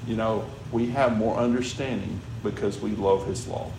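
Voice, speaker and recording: A man's voice: on the low side, fairly even intonation, normal speed. A man preaching a sermon in a conversational way, often checking in with "all right?" and "you know". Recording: echoey room with background noise.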